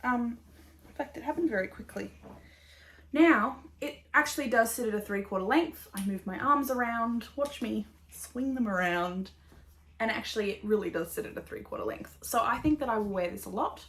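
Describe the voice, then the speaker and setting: A woman's voice: on the high side, normal speed, fairly bright tone. Chatty Australian woman, rambling and upbeat. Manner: rambling, upbeat, full of fillers and little laughs. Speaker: podcast host. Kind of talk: casual chatty knitting podcast.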